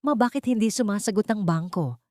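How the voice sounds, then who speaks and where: A woman's voice: medium pitch, expressive, a little fast, a little mellow, no echo, voice pushed up, close to an everyday voice. An anxious, pleading young heir from a family melodrama, read by a woman. The voice is dry and close. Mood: desperate. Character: desperate adult son of a failing rich family.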